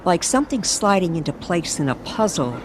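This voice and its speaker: A woman narrating a scripted mystery story dramatically, with deliberate, suspenseful phrasing. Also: lowish voice, sing-song, noisy background.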